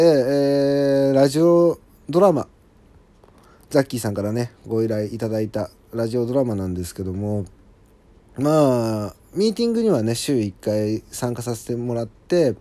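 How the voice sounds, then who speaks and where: Mellow man with swooping, animated intonation. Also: mid-range voice, no room sound. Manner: polite, apologetic, conversational with frequent agreeing asides. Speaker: solo audio show host. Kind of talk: casual monologue, personal update to listeners.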